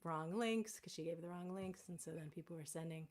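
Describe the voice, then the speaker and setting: A woman with a low, slightly scratchy voice chats loosely between songs, circling back and correcting herself as she goes. Guitar music rings clearly behind her. She speaks from her home on a live stream. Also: a little fast, darkish tone. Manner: rambling, self-correcting, chatty. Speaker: singer-songwriter hosting a home live stream. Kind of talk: casual chat between songs.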